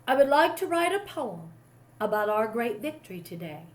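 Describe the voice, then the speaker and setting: An earnest, patriotic gentleman of the storybook kind, read by a woman, speaking in a fairly high voice. The delivery is courteous and a little declamatory, like a poet addressing a young companion. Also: big pitch swings, normal speed, a little hiss or hum. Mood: eager.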